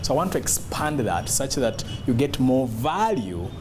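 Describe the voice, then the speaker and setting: A man's voice: medium pitch, very animated, normal speed, over music. A man giving an instructional talk as a public speaking coach, emphatic and demonstrative, repeating key phrases.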